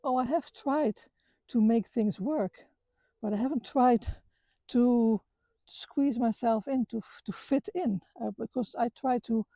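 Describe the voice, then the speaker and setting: A middle-aged woman from the Netherlands with a Dutch accent, talking earnestly about her life with many halting restarts. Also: fairly high pitch, dark tone, no echo. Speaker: vlogger. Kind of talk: reflective personal talk. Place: outdoors on a walk.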